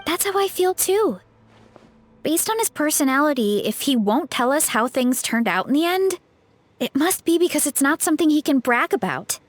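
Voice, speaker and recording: A woman playing an earnest, thoughtful young female adventurer in a video game, with a reasoning, gently skeptical manner. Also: sits high, big pitch swings, normal speed, faint music behind. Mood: pondering.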